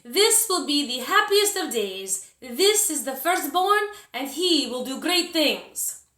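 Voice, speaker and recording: A woman's voice: sits high, big pitch swings, takes it slowly, bright, forceful tone, roomy sound, voice pushed up, exaggerated voice. A woman voicing a proud, joyful Italian godfather in a storybook, proclaiming grandly.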